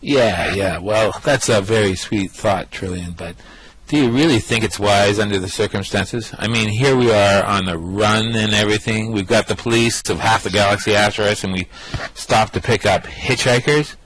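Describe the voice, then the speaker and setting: A man's voice: lowish voice, normal speed, a bit bright, natural voice, low-quality recording. A man playing an impatient, sarcastic fugitive in an amateur space radio play. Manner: sarcastic, exasperated.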